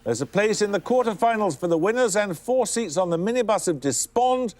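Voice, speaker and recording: A male quiz host with a fairly high, lively voice reads out questions crisply and formally. He has a dry edge as he moves the teams along. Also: brisk.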